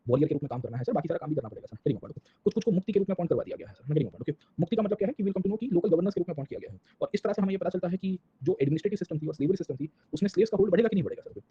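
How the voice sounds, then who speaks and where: A man with an Indian accent and a husky, rough-edged voice gives an informal classroom lecture as a history teacher, mixing Hindi with English and often checking in with short tags. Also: high voice, expressive, rapid-fire, few pauses, warm tone. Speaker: history lecturer for exam students. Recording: dull, lo-fi lecture audio.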